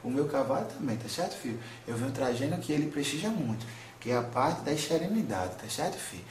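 A man's voice: mid-range voice, animated, quick pace, reverberant, slight background noise, close to an everyday voice. A man speaking as a wise, tender old grandmother spirit in a spiritual counselling session, soothing and calling the listener son again and again. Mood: gentle. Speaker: spirit medium.

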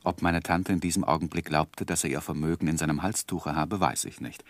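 An actor playing a composed first-person narrator in a literary radio drama, measured and articulate. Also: male voice, very deep voice, fairly even intonation, dry recording. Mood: wry storytelling. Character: composed male narrator recounting his own life story.

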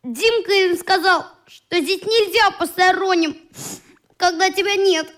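A woman's voice: extremely high pitch, measured pace, fairly bright tone. A woman playing an earnest, indignant little boy in a radio play, reporting in an artless, aggrieved way. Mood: aggrieved, eager.

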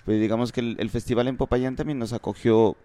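A man from Pasto, Colombia, a band musician answering interview questions in a relaxed, rambling way with many fillers. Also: medium pitch, fast talker.

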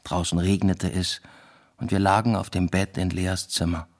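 A man's voice: low-pitched, level tone, normal speed. An actor playing a reserved young man who tells of a new love affair in a radio drama, understated and matter-of-fact. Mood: reflective, understated. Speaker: narrator and lead character. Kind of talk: first-person radio drama narration.

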